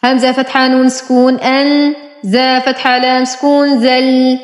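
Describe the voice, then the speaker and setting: A woman teacher reciting a spelling drill, sounding out each syllable deliberately with drawn-out enunciation. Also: high-pitched, level tone, reverberant, in a large hall, soft background music. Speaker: Quran reading teacher. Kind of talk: slow spelling-out Arabic phonics drill.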